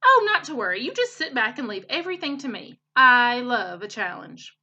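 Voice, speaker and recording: A woman plays a confident old-school beaver builder from a children's storybook. The delivery is bright and bouncy, moving freely up and down, with a folksy, encouraging manner. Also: a little high, sing-song, normal speed, dull audio, exaggerated voice. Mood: hearty, confident. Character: gruff-but-kindly male beaver craftsman, confident, musing.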